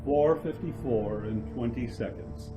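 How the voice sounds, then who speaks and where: A man narrating a scripted suspense radio drama in a deliberate, dramatic way. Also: mid-range voice, low-quality recording. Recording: music behind the narration.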